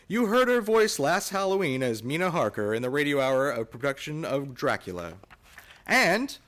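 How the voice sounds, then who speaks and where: A man announces a radio show from a script. His voice is bright and on the high side, with animated, showy emphasis.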